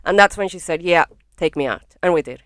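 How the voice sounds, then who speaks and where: A woman's voice: fairly low pitch, a little fast, no room sound. A young woman from Venezuela with a Venezuelan accent, a comedian talking frankly and swearing freely as a podcast guest in a bedroom studio. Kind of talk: casual podcast chat. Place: small home studio in a bedroom. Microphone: podcast microphone.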